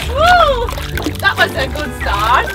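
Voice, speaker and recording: A mother's very high, bouncy voice rings out over a backyard pool as she coaches her kids to swim. She cheers them on and repeats each instruction in an unhurried way. Loud music plays underneath. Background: loud background music and splashing.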